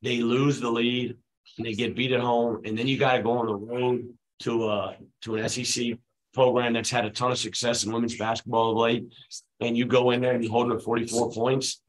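A man in his forties, a head basketball coach, answering questions at a postgame press conference in a relaxed, conversational way with many fillers, speaking into a table microphone. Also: mid-range voice, a little fast, low-quality recording. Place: press room at a team table, his children beside him.

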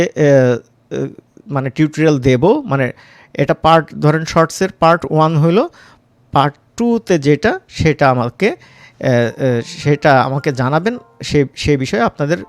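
A man with a Bangladeshi accent giving a casual, informal software tutorial as an instructor, mixing in English words. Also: on the high side, animated, a little slow, pauses often, no room sound, faint music behind.

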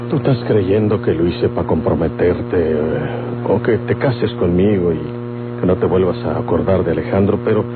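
A man's voice: low voice, moderate pace, lightly acted. An actor playing a domineering middle-aged outlaw father with a rural Mexican accent in an old radio drama. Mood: pleading, amorous. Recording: muffled old radio recording with music.

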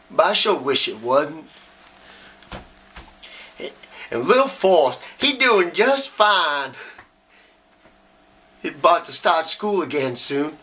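A man playing a simple, tender, grieving Southern husband speaking haltingly at his wife's grave in a dramatic monologue. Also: a little high, pushed and bright, exaggerated voice.

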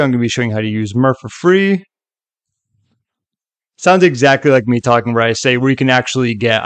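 A man narrating a software tutorial in a casual, conversational way with chatty asides. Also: medium pitch, dry recording.

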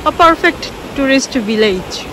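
A woman's voice: high-pitched, expressive, unhurried. A young adult woman, narrating a casual walking tour as a travel vlogger. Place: outdoors in village streets and balconies. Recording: clear outdoor ambient noise, passing traffic.

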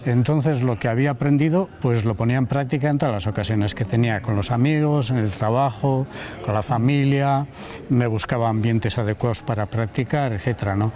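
A man's voice: medium pitch, fairly quick, dark tone. A middle-aged man explaining his experience in a reflective, explanatory way during an interview, recorded on a town street with a lapel mic. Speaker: interviewee, language learner. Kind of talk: casual interview answers.

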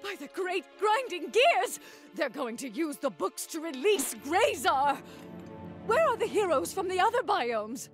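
Flustered bossy cartoon creature lady, high dramatic voice, music playing behind. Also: sing-song, measured pace. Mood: exasperated, dramatic. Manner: theatrical, exclamatory, indignant. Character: flustered, dramatic female long-snouted creature, official in charge. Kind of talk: animated game cutscene acting.

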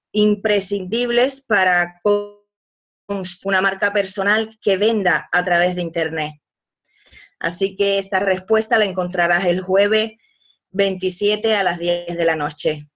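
A woman walks through slides in an online business seminar, her pitch sitting in a middle range. She talks in halting stretches, falls back on fillers and restarts her phrases. Her voice comes through a webcam mic on a video call. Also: a bit bright. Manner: hesitant, fills pauses with "pues" and restarts. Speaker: webinar co-presenter.

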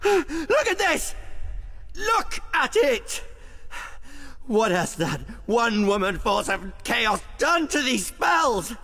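A man's voice: extremely high pitch, sing-song, slow pace, pushed and bright, faint music behind, exaggerated voice. An actor playing a vain, melodramatic young male wizard given to petulant tantrums, in radio drama style. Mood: outraged.

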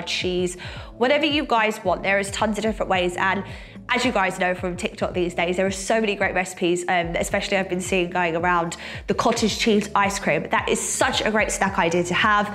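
Young female vlogger, nasal from a cold, relaxed chatter full of fillers, mid-range voice, seated indoors. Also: mid-range voice. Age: young adult. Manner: chatty, many fillers, lively gestures. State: sick with a cold, slightly nasal. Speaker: fitness coach and vlogger. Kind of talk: casual sit-down chat to camera. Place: bright room indoors, seated.

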